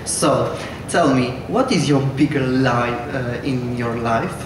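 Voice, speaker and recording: A man from Poland puts a question at a press conference. His mid-pitched voice is distant and heavily reverberant, with noise around it. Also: takes it slowly. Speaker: journalist asking questions. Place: echoey indoor press conference room. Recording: very echoey, distant, noisy room.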